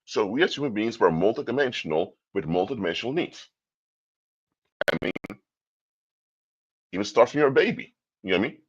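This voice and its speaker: A man's voice: lowish voice, expressive, fairly quick, long pauses, husky, lo-fi sound. A man from Curaçao, giving a livestream talk in a lecturing tone full of rhetorical questions. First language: Papiamento. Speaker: commentator. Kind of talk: livestream commentary.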